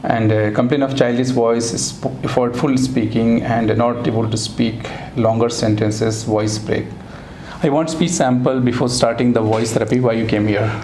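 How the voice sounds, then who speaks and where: A man working as a voice therapist, asking brisk, clinical questions and then addressing viewers directly. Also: medium pitch, fairly even intonation, a little mellow. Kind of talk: clinic interview and sign-off. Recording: echoey room, faint noise.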